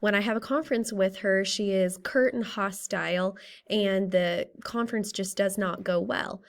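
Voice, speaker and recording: A woman in her thirties with a slightly raspy, tired voice, methodically presenting a school case study for a class. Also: medium pitch, moderate pace. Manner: steady, methodical, works through a list of questions. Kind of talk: reading a prepared class presentation.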